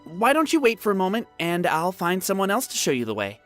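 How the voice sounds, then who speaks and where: An excitable young veteran from a video game. His delivery is earnest and very animated, swinging up and down in pitch. Faint music plays behind him. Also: brisk, heavily acted. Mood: friendly, explaining.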